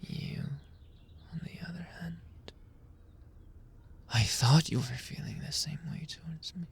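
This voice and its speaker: A man playing a menacing, possessive mafia boss in an ASMR roleplay, speaking deliberately with mock sweetness and often dropping into a whisper. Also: a little high. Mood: twisted tenderness. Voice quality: breathy, often whispering, creaky.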